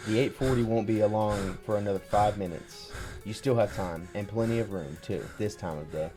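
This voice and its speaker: A man's voice: on the low side, normal speed, lightly acted. A man playing a polite, reassuring railway station worker in a radio drama. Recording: music under the voice.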